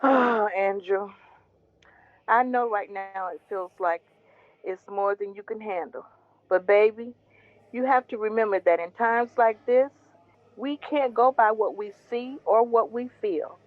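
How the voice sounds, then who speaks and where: A woman playing Mama Ronnie, a devout foster mother who gently consoles her jailed son in an audio drama. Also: mid-range voice, unhurried, no room sound, phone-quality sound, close to an everyday voice. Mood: consoling. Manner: gentle, consoling, preaching faith. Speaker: Drew's mother.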